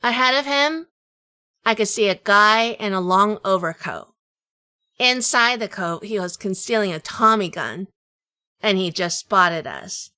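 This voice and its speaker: Actress as hard-boiled noir heroine, woman, bright forceful tone, crisp, dry studio sound, wary, scripted audio drama narration. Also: medium pitch, a little slow, dry recording. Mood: wary, cool. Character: tough, wary, armed woman narrating a noir crime story.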